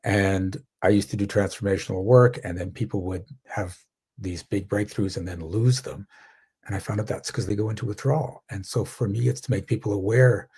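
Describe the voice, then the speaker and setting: A man with a deep, mellow, husky voice introduces himself on a panel. He leans hard on his points and often pauses on fillers. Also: steady tone. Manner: emphatic, with many fillers. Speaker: panel speaker.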